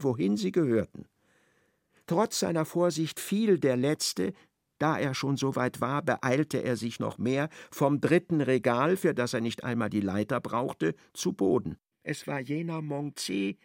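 A male narrator in a radio play, telling the story in a measured, ironic way. His middle-pitched voice has a gravelly edge, recorded dry and close. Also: no echo.

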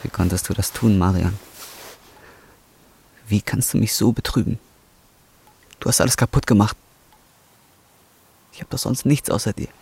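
A man's voice: lowish voice, normal speed, creaky, long pauses, a little mellow, husky, heavily acted. An actor in a radio drama playing an anguished, betrayed man pleading with his lover.

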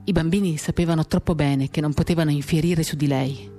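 A woman giving a measured, expressive dramatic reading of a first-person novel, pausing as she tells it. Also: deep voice. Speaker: reader of a literary work. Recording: acoustic guitar music behind the voice. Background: acoustic guitar accompaniment.

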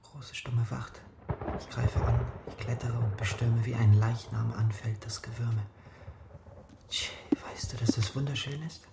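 An actor playing a bookish, dreamy young thief in a radio drama, speaking in a hushed, whispery way. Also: male voice, medium pitch, steady tone, a little slow, creaky. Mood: enraptured. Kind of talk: German radio drama acting. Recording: noisy, roomy drama scene.

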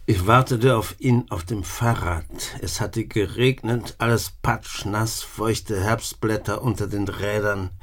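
Low-voiced gruff old grandfather played by an actor. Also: steady tone, unhurried, few pauses, a little hiss or hum, natural voice. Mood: wistful, bitter. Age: older. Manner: gruff, sardonic. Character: grumpy old grandfather, cynical, solitary, sharp-tongued. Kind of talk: German radio drama acting.

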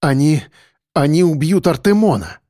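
A man's voice: fairly high pitch, lively intonation, mellow, no room sound, studio quality, lightly acted. A man playing a harried grown son in an audiobook story, insistent and impatient with his mother. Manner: hurried, breathy exhaling, insistent.

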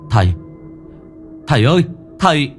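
A man's voice: deep voice, big pitch swings, normal speed, dark tone, soft background music, voice pushed down. A man voicing a grieving, dutiful young son who calls out in earnest sobbing cries.

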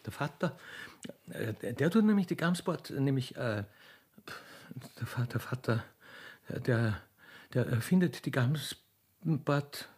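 A man's voice: mid-range voice, expressive, a little slow, pauses often, darkish tone, husky. A man playing a naive, lovestruck young Alpine girl with an Austrian accent and a shy stammer in a radio play. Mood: naive bashful. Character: naive, lovestruck young railway keeper's daughter from the Alps.

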